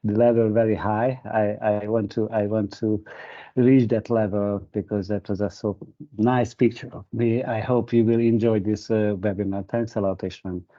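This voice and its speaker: A man's voice: on the low side, level tone, normal speed, warm tone. A Hungarian-speaking man giving a webinar presentation, hesitant, with many fillers and restarts. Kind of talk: presentation with an anecdote. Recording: muffled video call audio.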